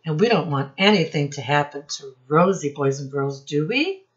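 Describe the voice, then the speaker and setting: A woman's voice: low voice, expressive. A middle-aged woman reading a picture book aloud to young children as a lesson, prompting them with questions and drawing out key words. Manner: instructive, prompting listeners with questions, drawn-out emphasis on key words. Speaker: children's storytime teacher and reader. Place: small room indoors. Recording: dull, lo-fi webcam sound.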